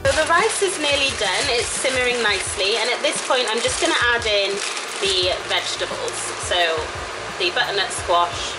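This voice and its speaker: A woman's voice: on the high side, lively intonation, normal speed, poor audio. Chatty young woman over music. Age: young adult. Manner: chatty, informal, playful asides. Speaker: cooking vlogger. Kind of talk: casual cooking narration. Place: home kitchen, indoors. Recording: music and frying sizzle behind voice. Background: music, sizzling food, stirring.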